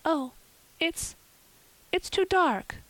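Storybook girl, performed by a woman: high pitch, dry close sound, dramatic, wordy, pleading, wildly imaginative. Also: lively intonation, moderate pace, no echo, close to an everyday voice. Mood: reluctant, pleading. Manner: gushing, wordy, romantic and pleading.

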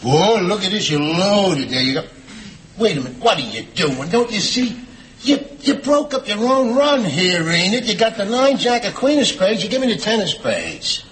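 A man playing a stubborn, blustering middle-aged working-class husband with a New York accent and rough grammar, in a sitcom. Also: sits high, very animated, moderate pace, a bit bright, slight background noise, low-quality recording. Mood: incredulous, scolding. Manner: blustering, ungrammatical, badgering. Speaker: sitcom actor. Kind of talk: sitcom acting before a studio audience.

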